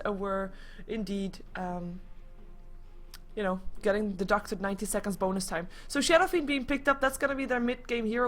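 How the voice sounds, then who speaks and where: A woman casting an esports match live in a casual, chatty, expressive way. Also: medium pitch. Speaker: esports commentator.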